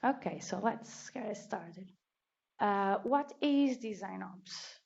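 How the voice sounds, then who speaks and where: A woman from Portugal with a Portuguese accent, giving a talk at a meetup in a careful, deliberate way with restarts. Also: mid-range voice, animated, gravelly, a little mellow. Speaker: meetup speaker. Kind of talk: conference talk. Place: meetup venue. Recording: dull lo-fi recording.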